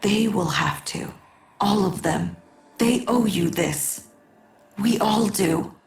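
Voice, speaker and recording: A woman's voice: mid-range voice, takes it slowly, gravelly. A woman playing a solemn mystic guide in a video game, speaking in a grave, ceremonial manner. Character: solemn female mystic guide presiding over a sacred rite. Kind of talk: scripted video game acting. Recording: echoing distant voice under music.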